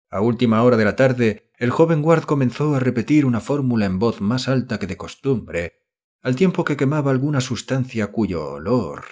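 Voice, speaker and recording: A man reading a horror novel as an audiobook narrator, in a measured, solemn manner. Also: mid-range voice, a little mellow.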